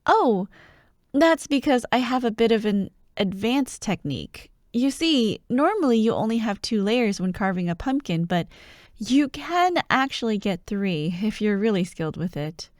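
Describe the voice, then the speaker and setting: Woman voicing excitable young female friend, high lively pitch, unhurried, playful teasing, mock drama, ASMR roleplay. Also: no room sound, clean studio sound. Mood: chatty, proud. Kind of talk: ASMR roleplay acting, addressing the listener.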